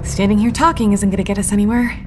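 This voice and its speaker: A woman playing a plucky, impatient young adventurer in an audio drama, with an urgent, expressive delivery. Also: medium pitch, fast talker, noisy background, close to an everyday voice. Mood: determined. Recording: music under the voice.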